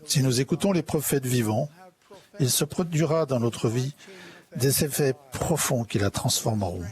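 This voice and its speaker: Man, formal sermon, measured, solemn, earnest. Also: mid-range voice, darkish tone. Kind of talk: formal sermon delivered in translation.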